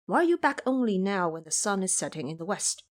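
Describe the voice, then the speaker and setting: A woman voicing a gentle, earnest male monk master who speaks politely and a little fretfully, in an audio drama. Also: medium pitch, sing-song, fairly quick, close to an everyday voice. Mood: questioning.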